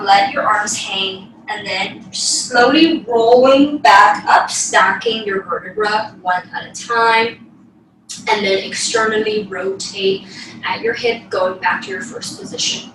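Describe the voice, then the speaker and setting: A woman's voice: fairly high pitch, fairly bright tone, slight background noise. A woman giving spoken ballet class instruction, cueing moves in an encouraging way as she demonstrates. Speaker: ballet teacher. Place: small bedroom, indoors. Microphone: distant camera across the room. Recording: very echoey room, distant microphone. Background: piano music.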